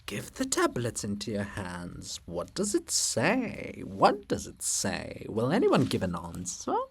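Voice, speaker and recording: A man's voice: lowish voice, huge pitch swings, slow, gravelly, breathy edge, pitched below the performer's own voice, full character voice. A man voicing a theatrical chorus in a dramatic reading, pleading and questioning insistently. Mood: feverish, insistent. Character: hissing theatrical chorus, feverishly pleading and riddling.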